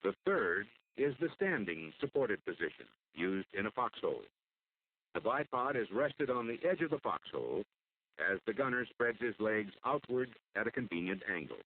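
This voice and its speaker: An American man delivers formal, measured scripted narration for an instructional military training film. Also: fairly low pitch, big pitch swings. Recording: muffled phone-like old film soundtrack.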